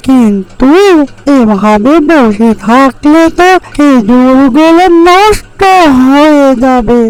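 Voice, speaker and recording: A performer voicing a small, hopeful, hardworking bird in a fairy-tale cartoon, with drawn-out storytelling delivery. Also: high-pitched, animated, clear, resonant tone, rough recording. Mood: alarmed, scolding. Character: Tuni, small hopeful hardworking bird guarding her date palms.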